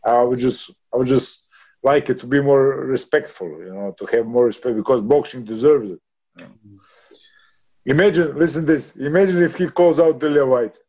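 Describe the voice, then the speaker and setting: A man's voice: medium pitch, moderate pace, mellow, muffled recording. Swearing man in his thirties, laughing often. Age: 30s. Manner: rough, swearing, laughs often, calls others brother. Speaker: boxer. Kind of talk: casual interview.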